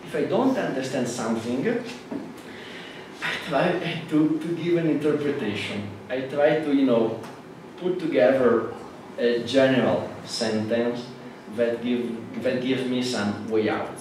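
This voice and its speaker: A man with an Italian accent, a native Italian speaker, giving a classroom lecture in a halting way with many fillers and restarts. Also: medium pitch. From Italy. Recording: echoey hall from far off with noise.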